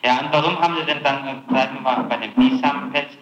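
A man's voice: mid-range voice, fast talker. Muffled old tape w/ room echo, guy prosecutor grilling someone, hard tense voice, pushy formal questions. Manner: pressing, confrontational questioning. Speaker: public prosecutor interrogating. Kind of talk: formal interrogation. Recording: dull, echoey, noisy 1960s archival tape.

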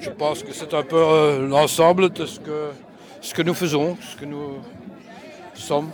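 Man, non-native speaker, halting, restarts, fillers, protester, outdoors. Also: fairly high pitch, steady tone, some chatter behind. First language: not French. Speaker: protester interviewed at a demonstration. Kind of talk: hesitant street interview answers. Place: outdoor street demonstration.

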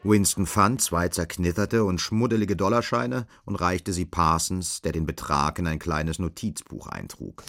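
A male narrator with a low voice reads literary prose for a radio drama. His delivery is measured and matter-of-fact. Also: creaky, fairly bright tone.

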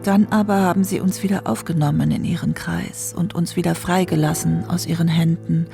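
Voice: mid-range voice, steady tone, fairly quick, gravelly, close to an everyday voice. A performer voicing a brooding, troubled narrator of a later generation, in an intense, incantatory literary monologue with insistent repetitions. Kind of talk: literary monologue in a documentary radio drama. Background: music.

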